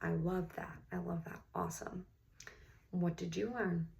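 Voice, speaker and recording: A woman reads a children's story at an unhurried pace, with noticeable vocal fry. She sounds like an encouraging teacher, stopping often to ask the listeners questions. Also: on the low side, little pitch movement, darkish tone. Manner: encouraging, teacherly, pausing and gasping theatrically. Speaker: read-aloud host, teacher-like reader. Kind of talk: children's picture book read-aloud with questions to the listeners.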